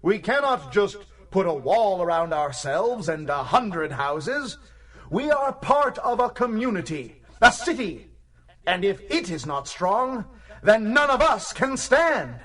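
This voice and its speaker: An actor playing a fervent orator addressing an assembly in a radio drama, with grandiose, preacherly emphasis. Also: male voice, high-pitched, very animated, takes it slowly, a bit bright, recorded from a distance, heavily acted. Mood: urgent, rousing. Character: fervent male rabble-rousing orator addressing a community assembly. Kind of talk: theatrical political speech in radio drama.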